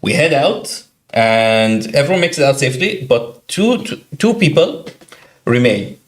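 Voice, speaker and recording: A man tells a gaming story on a casual podcast, his pitch swinging widely as he acts out quotes and shouts. His middle-pitched voice sounds animated and chatty. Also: measured pace. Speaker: podcast co-host. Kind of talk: casual storytelling about a video game.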